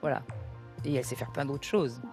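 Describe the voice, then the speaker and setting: A woman's voice: very deep voice, fairly even intonation, fairly quick, music in the background. A woman in her sixties hosting a word game show, reading clues with emphasis and laughing often. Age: 60s.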